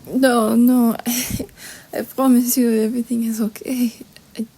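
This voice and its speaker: A woman playing a gentle French roommate who hides her sadness, speaking in a hesitant, breathy half-whisper with a French accent in an intimate roleplay audio drama. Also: a little high, little pitch movement, a little slow. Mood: reassuring, fragile.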